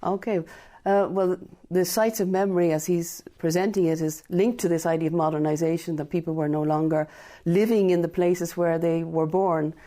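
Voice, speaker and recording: A middle-aged woman with an Irish accent answers interview questions in a measured, reflective manner. Her voice sits low and sounds mellow and warm. She thinks aloud as a guest scholar on a radio show. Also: fairly even intonation. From Ireland. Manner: measured, reflective, with some restarts. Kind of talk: thoughtful academic interview answers. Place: radio studio.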